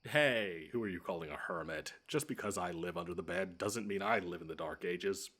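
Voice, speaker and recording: A man plays a monster who lurks under a child's bed, in a deep, husky voice. He is grumpy and pompous, choosing fussy words and stretching out his threats. The delivery is a scripted audio roleplay with dry sarcasm. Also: fairly bright tone. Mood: grudging. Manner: pompous, wordy, drawn-out menace with dry sarcasm.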